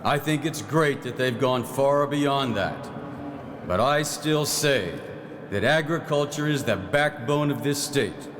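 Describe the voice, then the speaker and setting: A man's voice: medium pitch, slow pace, fairly bright tone. Man playing a folksy old-time legislator, echoey hall. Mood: proud, reminiscing. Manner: folksy, plain-spoken storytelling. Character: folksy, proud 1909 Arkansas farm-minded legislator reminiscing. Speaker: actor in historical re-enactment. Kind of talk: theatrical first-person historical monologue. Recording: echoey hall, clear background noise.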